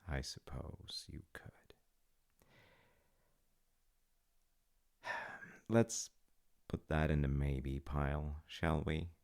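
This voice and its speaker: A man playing a gentle, doting dad with dry humor in an intimate comfort audio roleplay, musing softly and haltingly in a husky murmur. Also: very deep voice, steady tone, exaggerated voice. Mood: gently teasing. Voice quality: gravelly, husky, often dropping to a breathy murmur. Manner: slow, soft-spoken, halting, musing. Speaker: voice actor.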